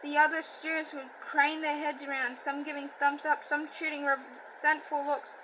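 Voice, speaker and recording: A woman reading a novel aloud, halting and self-correcting, with a stutter and a slight lisp. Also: sits high, flat delivery, background noise. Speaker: audiobook reader. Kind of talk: amateur book reading.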